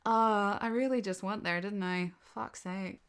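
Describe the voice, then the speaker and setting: A woman's voice: mid-range voice, measured pace, clear, resonant tone. A woman chatting casually and expressively in a self-deprecating way, like an online creator answering questions from followers. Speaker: audio roleplay creator and host. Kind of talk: casual subscriber Q&A, chatty talk.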